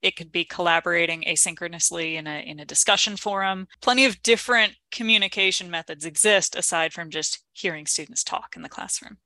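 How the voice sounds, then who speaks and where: A woman co-hosts a conversational podcast in a bright, forward voice that sits slightly low. The sound is dry and close, and she phrases her thoughts in an articulate, considered way. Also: brisk, few pauses, no echo. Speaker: podcast co-host. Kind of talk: conversational podcast discussion of teaching.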